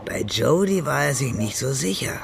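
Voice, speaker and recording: A man's voice: mid-range voice, lively intonation, normal speed, creaky, noisy background. A man narrating a radio crime drama from a script.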